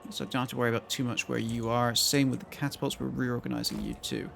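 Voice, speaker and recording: Croaky-voiced man streaming a strategy game. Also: medium pitch, level tone, fast, fairly bright tone, a little hiss or hum. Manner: thinking aloud while planning moves. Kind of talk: casual gameplay commentary to chat.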